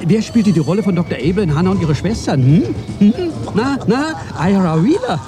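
A stammering, desperate comedian who has lost his nerve and keeps tripping over his own words. His voice sits high and swings widely in pitch. Music plays behind him. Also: sing-song, a little fast. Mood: harsh, quizzing. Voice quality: stammering, nervous repetitions. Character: desperate male comic impersonating a star, neurotic. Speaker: actor in radio drama. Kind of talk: 1990s radio play acting.

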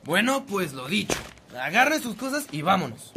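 Radio drama: pompous village secretary, piercingly high, gravelly edge, comic blustering bully. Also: male voice, big pitch swings, normal speed, pushed and bright, a little hiss or hum, heavily acted. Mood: annoyed. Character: bossy, self-important small-town secretary, a comic bully. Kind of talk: Spanish-language radio drama acting.

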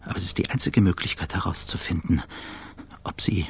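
An actor playing a paranoid, frazzled male journalist in a brooding, self-dramatising radio play monologue, with a husky voice. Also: deep voice, steady tone, fairly quick, full character voice.

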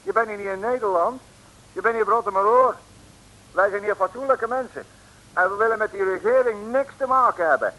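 A man's voice: very high-pitched, big pitch swings, brisk, gravelly, bright, forceful tone, full character voice. An actor playing an indignant, blustering, shady male innkeeper who scolds huffily in a 1950s radio serial. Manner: huffy, scolding. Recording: hissy, noisy old radio recording.

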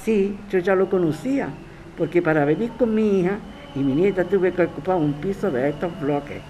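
A woman's voice: fairly low pitch, normal speed, warm tone, close to an everyday voice. A woman playing a defiant grandmother who resists eviction, interviewed in a radio drama. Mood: troubled, indignant. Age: older. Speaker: interviewed tenant. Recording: clear ambient street noise.

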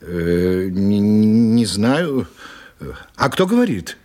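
A man's voice: fairly low pitch, sing-song, very slow, mellow, exaggerated voice. A man playing a fretful, self-dramatizing English mystery writer in a radio play, musing to himself theatrically. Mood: flustered, puzzled. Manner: theatrical, musing, flustered and hesitant when surprised. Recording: music behind the voice.

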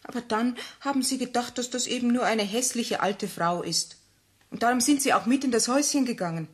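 An actress playing a mother who tells a fairy tale to her child in a gentle, instructive way. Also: on the high side, a little fast, breathy edge. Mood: storytelling. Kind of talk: 1960s radio play acting.

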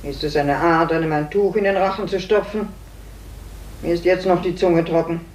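A woman's voice: lowish voice, fairly even intonation, normal speed, darkish tone, close to an everyday voice. Brief: woman playing self-pitying, callous maid, complaining, roomy vintage radio drama sound. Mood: grumbling. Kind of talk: 1950s German radio play acting. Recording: roomy, distant 1950s radio recording.

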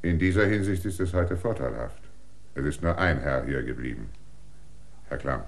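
Ein Schauspieler spielt in einem Hörspiel einen förmlichen, ängstlichen Wirt, der höflich bedauernd ablehnt. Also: male voice, rumbling low, lively intonation, normal speed. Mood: politely regretful. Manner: formal, politely regretful. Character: formal, anxious male innkeeper, rule-bound and apologetic. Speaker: innkeeper character. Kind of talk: radio play acting.

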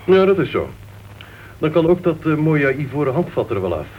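An actor playing a hearty, outspoken crime-writer club member in a radio play. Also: male voice, a little high, big pitch swings, brisk. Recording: dull, faintly noisy vintage radio recording.

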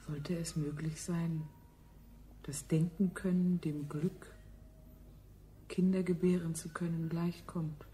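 An actress playing a grave, quietly rebellious enslaved woman in a 1970s radio play, with a measured, solemn delivery. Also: low voice, steady tone, slight background noise.

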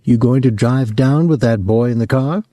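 A man's voice: mid-range voice, normal speed, warm tone, no room sound, natural voice. A man reading aloud, voicing a bitter, possessive mother near fifty who accuses and clings. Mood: fretful.

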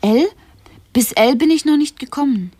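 A naive, curious woman in a radio drama, played by an actress. Her voice is rather high. The sound is dry, with no room around it. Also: moderate pace, a little mellow, no echo, close to an everyday voice.

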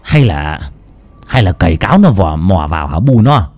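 A man's voice: sits low, sing-song, normal speed, a little mellow, no echo, faint music behind. A man voicing a skeptical elderly country husband, blunt and scolding in a spoken tale. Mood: puzzled.

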